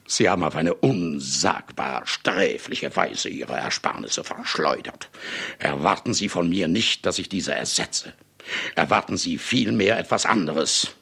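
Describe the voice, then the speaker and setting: A man's voice: deep voice, lively intonation, unhurried, bright, forceful tone, heavily acted. An actor in a German radio play playing a cynical, menacing man of letters who kills for material, with grandiloquent, sardonic, theatrical delivery. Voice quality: gravelly, husky. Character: cynical, menacing famous male writer who murders for material.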